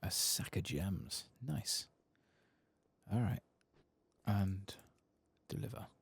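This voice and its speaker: A man giving soft-spoken, murmured ASMR commentary while playing a video game, with a husky, breathy voice. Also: sits low, big pitch swings. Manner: quiet, murmured, unhurried.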